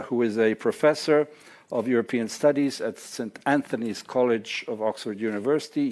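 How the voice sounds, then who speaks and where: A man hosting a conference panel, introducing speakers with many hesitant pauses. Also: medium pitch, darkish tone. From Serbia. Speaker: conference host. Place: lecture hall or library.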